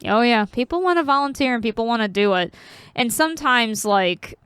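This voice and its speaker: A woman's voice: a little high, lively intonation, brisk, fairly bright tone, smooth, no echo. A woman co-hosting a podcast, talking in a casual, meandering way and telling stories. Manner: chatty, meandering storyteller. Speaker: podcast co-host. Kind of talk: casual chat.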